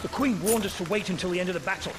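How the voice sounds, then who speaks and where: Man playing an excitable, very high-voiced soldier. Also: racing, natural voice. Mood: defensive. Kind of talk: dramatic video game acting. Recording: clearly noisy with clicks behind.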